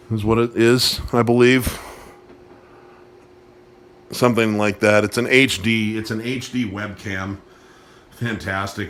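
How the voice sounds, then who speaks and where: A man's voice: fairly low pitch, fairly bright tone, echoey room, a little hiss or hum. A man giving a casual, rambling tour of his home studio gear, talking with restarts and fillers, recorded on a handheld phone. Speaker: piano teacher and live streamer. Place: small home studio with piano and computer. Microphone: phone camera held while walking around.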